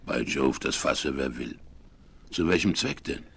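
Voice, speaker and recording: Husky, animated, gruff frontier sidekick. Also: male voice, very deep voice, a little slow, exaggerated voice. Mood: alarmed, baffled. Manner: blustery, exclamatory, English oaths. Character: gruff, incredulous frontier sidekick man in a Western. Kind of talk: 1970s radio play acting.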